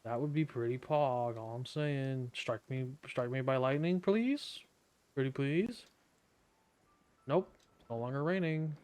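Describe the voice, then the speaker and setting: A man's voice: medium pitch, measured pace, darkish tone. A man streaming a game, chatting casually with sarcastic remarks while he hums and scats along. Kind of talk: casual gameplay commentary.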